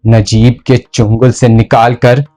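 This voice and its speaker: A male actor playing a ruthless, tormented medieval sultan in a slow, deliberate theatrical monologue with heavy pauses. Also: medium pitch, level tone, mellow, faint music behind, natural voice. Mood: scornful. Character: brooding, ruthless, tormented medieval sultan confessing his murders. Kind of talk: dramatic theatrical Hindustani monologue.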